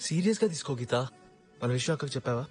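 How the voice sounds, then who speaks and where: An actor playing an anxious, pressing young boyfriend in naturalistic film dialogue. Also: male voice, mid-range voice, expressive, rapid-fire, a little mellow, faint music behind, natural voice. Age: young adult.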